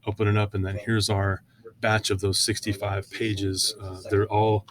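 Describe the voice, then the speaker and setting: Low-voiced man giving a software demo. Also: level tone, moderate pace, crowd noise. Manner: conversational, hesitant, filler-heavy walkthrough. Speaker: software product presenter. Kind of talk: informal screen-share software demo.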